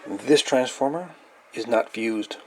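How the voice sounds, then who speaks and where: A man's voice: medium pitch, slow. A man explaining a hands-on repair casually and matter-of-factly, off the cuff, at an indoor workbench. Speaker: hobbyist radio repairer, presenter. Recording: noisy amateur camera recording.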